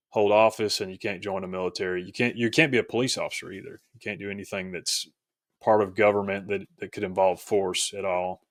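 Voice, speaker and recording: A man's voice: on the low side, no echo, clean studio sound. A man speaking as a podcast guest, telling a personal story casually in a reflective, somewhat hesitant way.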